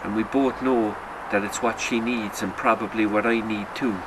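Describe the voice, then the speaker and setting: A man reading a literary short story in a sombre, measured storytelling manner. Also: fairly low pitch, steady tone, noisy background. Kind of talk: literary audiobook narration.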